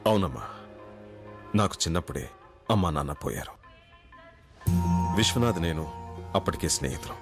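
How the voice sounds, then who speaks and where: Low-voiced, sober middle-aged storyteller. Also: male voice, expressive, normal speed, long pauses, over music. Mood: grave, reflective. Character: sober middle-aged man recounting a family sorrow. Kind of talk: Indian film drama acting.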